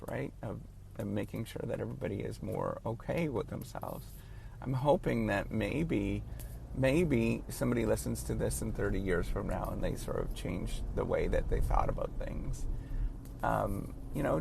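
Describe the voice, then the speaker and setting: A man in his late thirties who stutters, giving halting, earnest encouragement in a casual spoken monologue as a professor. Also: on the low side, vocal fry, noisy background. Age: late 30s.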